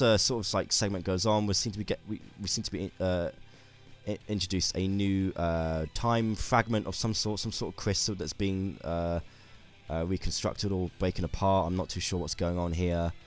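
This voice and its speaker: A man with a very low voice and a sore throat talks through a game trailer in a loose, rambling way, often restarting his sentences. Faint music plays underneath him. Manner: rambling, many restarts and fillers. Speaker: YouTube gaming commentator. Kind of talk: casual video commentary.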